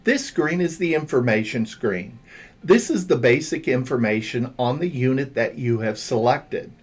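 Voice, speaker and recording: A man's voice: mid-range voice, animated, moderate pace, breathy edge. A man reading a scripted instructional narration as a tutorial narrator, guiding the listener step by step. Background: background music.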